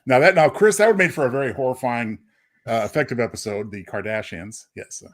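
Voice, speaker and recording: A man's voice: mid-range voice, fairly quick. A man co-hosting a podcast in casual conversational chat. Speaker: podcast co-host.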